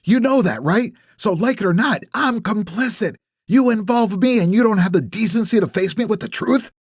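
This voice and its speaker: A man voicing an upset middle-aged woman who scolds a friend in a tense, breathy voice. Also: sits high, animated, brisk, dry recording, studio quality, voice pushed up. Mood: angry.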